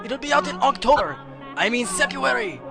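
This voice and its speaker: A man's voice: extremely high pitch, lively intonation, brisk, vocal fry, over music, voice pushed up, exaggerated voice. A man voicing a flustered, pleading company spokesman in a comedy news sketch, nervous and unsure.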